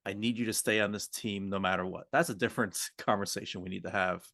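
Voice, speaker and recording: A man's voice: fairly low pitch, fairly even intonation, fast talker, creaky, bright, forceful tone, dry recording, clean studio sound. A man co-hosting a podcast as a communication coach, talking off the cuff and explaining points with example dialogue. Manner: explanatory, often illustrates points with hypothetical quoted dialogue. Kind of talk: unscripted coaching discussion.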